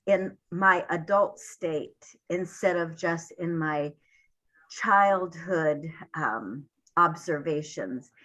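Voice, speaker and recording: Older woman, panelist, slow halting talk, bright tone. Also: fairly low pitch. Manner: halting, many pauses and fillers. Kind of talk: reflective panel remarks.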